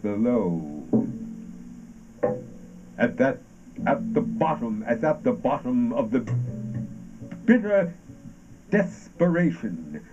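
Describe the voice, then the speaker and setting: A man acting a brooding, sardonic ranter in an avant-garde stage monologue, halting and deliberate, with dramatic shifts of emphasis. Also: medium pitch, sing-song, music in the background. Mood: brooding, incantatory. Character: brooding, sardonic ranter delivering absurdist anti-war poetic monologue. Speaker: stage actor. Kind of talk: theatrical monologue from an avant-garde radio play adapted for stage. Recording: dull, noisy lo-fi stage recording.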